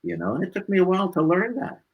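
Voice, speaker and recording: A man with a husky voice, a musician being interviewed, talking casually and reflectively and repeating points for emphasis. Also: high voice, wildly swooping pitch, quick pace, highs cut off.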